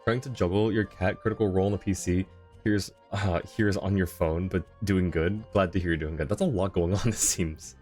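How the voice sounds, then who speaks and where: A man with a deep voice hosts a game livestream. He talks casually and amiably, commenting as he goes and chatting to viewers, with faint game music underneath. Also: steady tone, brisk, darkish tone. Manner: friendly, chatty, informal. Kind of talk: casual chat and live commentary.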